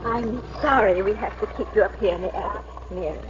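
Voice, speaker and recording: An actress playing an apologetic but firm farm wife keeping watch over a prisoner in a 1940s radio drama. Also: female voice, medium pitch, fairly quick, close to an everyday voice. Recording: muffled, very noisy old radio recording.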